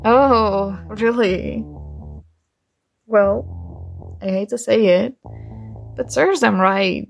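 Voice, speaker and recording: Woman acting a shy college girl with a crush, slow unhurried delivery, soft and hesitant, slight vocal fry, intimate ASMR roleplay, music behind. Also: medium pitch. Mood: indignant, caring.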